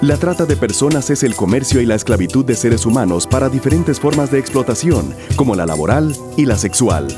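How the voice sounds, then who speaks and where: A man's voice: mid-range voice, lively intonation, a little fast, hardly any pauses, over music. A man reading scripted public-awareness narration as an announcer.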